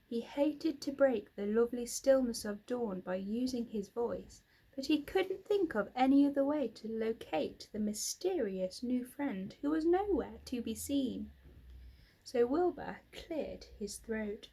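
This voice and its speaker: A woman's voice: fairly high pitch, lively intonation, unhurried, vocal fry, warm tone, breathy edge, rough recording. A woman narrating a children's book expressively, like a teacher reading aloud. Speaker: reader, teacher.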